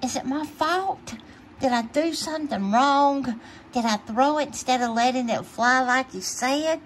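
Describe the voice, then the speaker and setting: A woman voicing an eager, excitable little boy bear in a children's storybook, full of childlike wonder. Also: high-pitched, unhurried, slight background noise, rough recording. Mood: worried, pleading.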